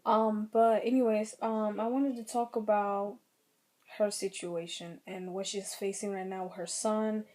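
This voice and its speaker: A young woman with a slightly raspy throat, giving earnest, rambling commentary on her own vlog channel. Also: medium pitch, steady tone, unhurried, dark tone. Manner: earnest, rambling, many fillers. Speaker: vlogger.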